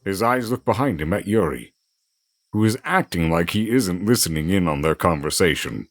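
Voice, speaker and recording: Low-voiced, expressive male narrator. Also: normal speed. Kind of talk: scripted storybook narration for audio drama.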